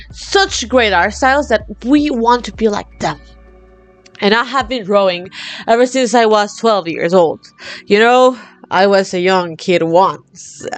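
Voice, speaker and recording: This is an excitable young woman whose first language is Spanish, speaking English with a Latin American accent. Her voice sits a little high and jumps around as she chats and laughs with her viewers. Faint music plays quietly behind her. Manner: bubbly and excitable, laughs often, lively swings in pitch. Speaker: vlogger. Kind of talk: casual chat to camera.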